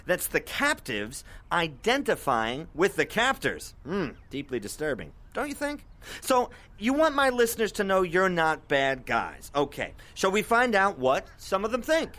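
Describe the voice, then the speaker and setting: An actor playing a cocky, sardonic internet radio host in an audio drama, with teasing, theatrical patter. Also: male voice, high voice, wildly swooping pitch, unhurried, pushed and bright, heavily acted. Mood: sardonic, probing.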